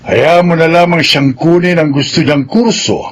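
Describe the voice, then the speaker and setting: A man's voice: a little high, moderate pace, lightly acted. An actor with a Filipino accent playing a kindly, concerned elderly grandfather in a radio soap. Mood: gently reproving.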